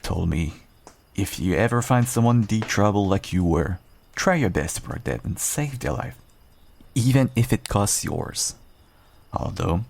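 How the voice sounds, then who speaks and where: A man playing a guarded but kind half-wolf rogue in an intimate fantasy audio roleplay, unhurried and dryly understated. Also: slight background noise, full character voice. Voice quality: low, gravelly, husky. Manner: unhurried, dry, understated, self-deprecating.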